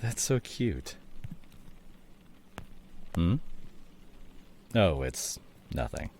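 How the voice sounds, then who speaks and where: Very deep, gravelly husband, voiced by a man. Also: sing-song, slight background noise, full character voice. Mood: casual, teasing. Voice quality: husky, gravelly, often breathy. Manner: soft, halting, drops into whispers. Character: remorseful, devoted husband nursing his injured wife, teasing. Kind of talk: intimate ASMR roleplay drama.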